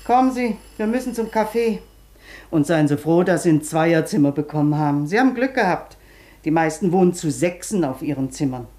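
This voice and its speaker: Bossy, low-voiced chatterbox woman. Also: lively intonation, a little fast, darkish tone. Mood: chatty, knowing. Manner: blunt, colloquial, talkative. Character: bossy, chatty long-term woman patient showing newcomer around. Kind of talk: German radio play acting.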